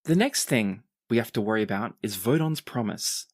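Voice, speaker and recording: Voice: medium pitch, lively intonation, normal speed, darkish tone, no echo, lightly acted. A game master voicing a composed, earnest noblewoman with a dry manner in a tabletop roleplaying game. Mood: earnest, urgent.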